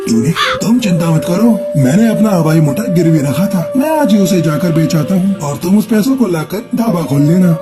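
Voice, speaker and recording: Woman as male bird character, lowish pitch, firm cartoon delivery, music behind. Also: animated, normal speed, hardly any pauses, lightly acted. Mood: reassuring. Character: male bird, worker, husband or boss, in cartoon. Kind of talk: children's cartoon story dubbing.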